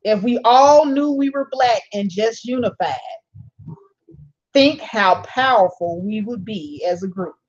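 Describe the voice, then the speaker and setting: A woman's voice: mid-range voice, expressive, a little slow, highs cut off. A woman hosting a casual live stream. She reads viewer comments aloud, answers them, and laughs often. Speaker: channel host reading live chat.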